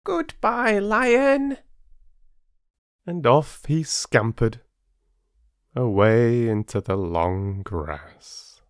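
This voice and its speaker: Expressive male storyteller, very animated pitch. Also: extremely slow, no echo, clean studio sound. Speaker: audiobook reader and channel host. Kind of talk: children's story narration.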